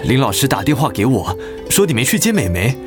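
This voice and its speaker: Casting: actor as practical thirties husband, Taiwanese Mandarin, very expressive pitch, radio play with music underneath. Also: male voice, a little fast, close to an everyday voice. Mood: practical, pressing. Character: busy, practical husband and father, concerned but pressing. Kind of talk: dramatic radio play acting.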